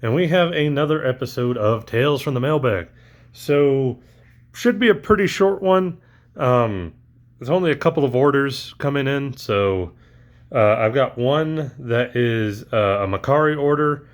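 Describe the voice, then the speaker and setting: A man's voice: medium pitch, animated, measured pace. A man talking casually through a toy unboxing, rambling with lots of ums and uhs, his voice recently back after allergies.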